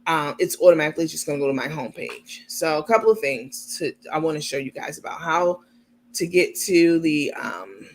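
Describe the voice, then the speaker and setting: High, gravelly-voiced man chatting on a livestream. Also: lively intonation, brisk, slight background noise. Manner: chatty, explains step by step while sharing screen; many filler ums, gets irritated at small details. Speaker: channel host. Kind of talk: casual livestream talk to viewers.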